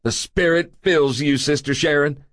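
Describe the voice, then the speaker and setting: A man's voice: on the high side, steady tone, normal speed, fairly bright tone, no room sound, pitched above the performer's own voice, lightly acted. A man voicing a short, fat, self-important male busybody who talks in a pompous, huffy way. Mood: fawning.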